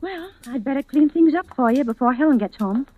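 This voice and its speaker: High-pitched, nosy old landlady. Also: lively intonation, fairly quick, narrow, tinny audio. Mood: motherly, brisk. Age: older. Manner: scolding, insistent, fussing. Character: nosy, well-meaning old landlady, bossy and motherly. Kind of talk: old-time radio drama acting. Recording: noisy old radio drama recording.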